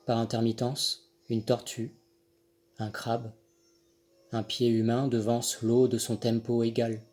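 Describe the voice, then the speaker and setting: Man, poetry reading, very slow delivery, mid-pitched voice, deliberate phrasing, frequent pauses. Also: level tone, darkish tone. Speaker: poet reading own poem. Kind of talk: poetry recitation. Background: Handel music accompanies the reading.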